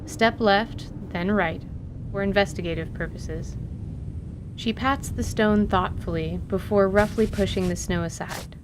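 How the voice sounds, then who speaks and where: A woman's voice: steady tone, measured pace. Woman narrating a story, noticeably noisy recording. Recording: noisy recording with low rumble.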